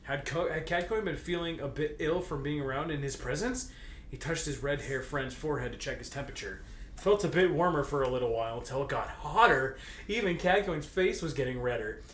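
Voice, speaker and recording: Young man, slightly high voice, jokey read-aloud, giggly, stammering, playfully dramatic, echoey room, background noise. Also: lively intonation, a little fast, fairly bright tone. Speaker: co-host reading fan fiction aloud. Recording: roomy echo, clear background noise.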